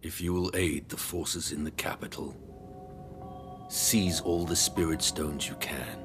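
Very deep, cold manipulator. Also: male voice, steady tone. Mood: cold, commanding. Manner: formal, deliberate. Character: cold, commanding, manipulative man granting dark power. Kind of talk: dramatic game cutscene acting. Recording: loud music behind the voice.

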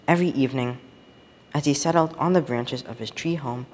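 Teen guy reading a story out loud, measured and expressive, roomy reverb, bit of background noise. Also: medium pitch. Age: teenager. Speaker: student story reader. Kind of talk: scripted storytelling read aloud.

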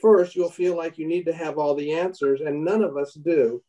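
A man's voice: on the high side, steady tone, dark tone, far from the mic, dull audio. Earnest man teaching over a video call. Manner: earnest, halting restarts, reads aloud from a book. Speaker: bible study teacher. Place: home room indoors. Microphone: laptop webcam on a video call.

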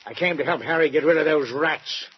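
A man's voice: a little high, a little fast, slightly rough. An actor playing an agitated male friend of the victim, in 1940s radio drama style. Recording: muffled 1940s radio broadcast.

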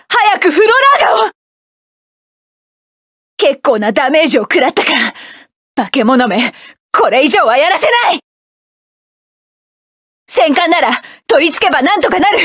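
An actress voicing a proud, combative young woman mecha pilot, delivering sharp, commanding battle lines for a game. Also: sits high, dry recording, studio quality.

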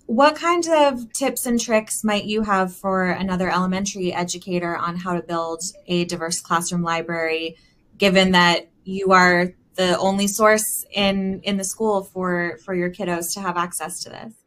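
A woman's voice: fairly low pitch, steady tone, moderate pace, a bit bright, a little hiss or hum. A woman moderating a panel on a video call from a room at home, asking questions and giving brief affirmations. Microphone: webcam on video call.

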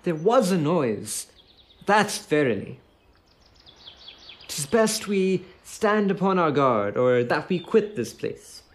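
Man acting a very high-pitched old counsellor. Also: big pitch swings, slow. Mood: earnest, wary. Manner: earnest, theatrical. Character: loyal, earnest old royal counsellor. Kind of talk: Shakespearean radio play acting.

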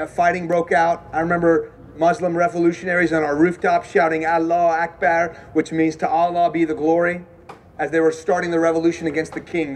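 A man in his thirties from Houston preaching an evangelistic sermon to a student crowd, animated and conversational, questioning the room. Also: on the high side, a little hiss or hum. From Houston, Texas. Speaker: campus preacher. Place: dark stage in a large hall.

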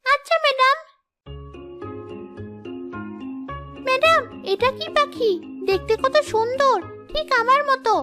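A performer voicing a naive, eager little ghost schoolgirl with a squeaky childlike voice in a children's cartoon. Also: moderate pace, loud music behind the voice. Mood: curious. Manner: eager, innocent.

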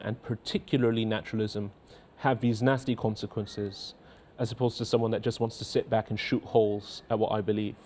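A man in his thirties with a faint Scottish accent, a cleric in a TV studio reading out and answering viewer letters in a measured, earnest way. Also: medium pitch, darkish tone. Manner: measured, earnest, repeats words when starting sentences. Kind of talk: studio talk show, reading letters aloud. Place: indoor TV studio.

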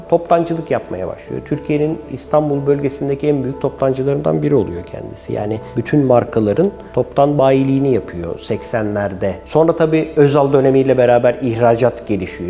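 A man in his forties speaks in a relaxed, warm tone at a middle pitch. He narrates like an executive in a documentary interview, recorded indoors on a lapel mic. Music plays softly behind his voice. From Istanbul, Turkey. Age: 40s. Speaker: company chairman telling his business story. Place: quiet office room, indoors. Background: background music.

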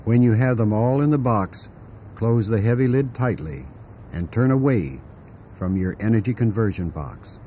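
Low-voiced man guiding a meditation. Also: a little hiss or hum. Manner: calm, deliberate, instructing with pauses. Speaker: guided meditation narrator. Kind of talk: scripted guided meditation.

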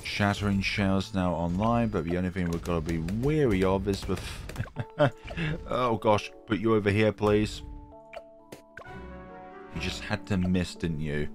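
Deep-voiced gaming host with a cold, croaky, chatty self-mocking commentary over faint music. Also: male voice, unhurried, long pauses. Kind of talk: casual gameplay commentary.

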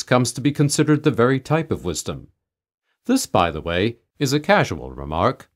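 A man reading a humorous old literary tale aloud as an audiobook narrator, in measured, mock-solemn storytelling. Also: lowish voice. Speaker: audiobook reader.

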